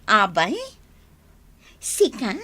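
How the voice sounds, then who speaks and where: A woman's voice: mid-range voice, lively intonation, extremely slow, sharp tone, breathy edge, heavily acted. A woman acting as a proud, blunt bride-to-be in an Ilocano radio drama, showy and emphatic, with an Ilocano Filipino accent. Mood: awkward, apologetic. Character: proud young bride-to-be, blunt and breezy with friend.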